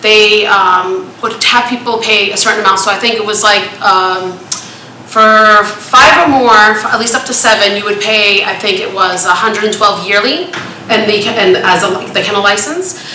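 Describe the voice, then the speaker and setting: A woman speaking up at a town planning board hearing, asking pointed questions in a loud, rambling way. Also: medium pitch, normal speed. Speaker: resident speaking at public hearing. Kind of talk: public comment at a town meeting. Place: town hall meeting room. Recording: echoey meeting room with light noise.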